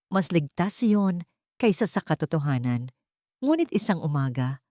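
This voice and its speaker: A woman's voice: deep voice, dark tone, dry recording, studio quality. A woman giving a scripted, dramatic narration of a story.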